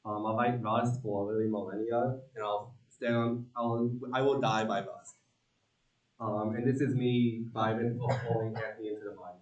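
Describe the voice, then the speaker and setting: A young man from Long Island giving a relaxed, joking student team presentation with a lot of ums. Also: fairly low pitch, moderate pace, echoey room, distant mic, lo-fi sound. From Long Island, New York. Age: young adult.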